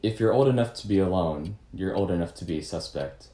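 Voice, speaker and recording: A man's voice: low voice, expressive, brisk, mellow, roomy sound. A man playing a suspicious, confrontational detective who questions pointedly in a puppet-show murder mystery. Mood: skeptical. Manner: pointed, skeptical.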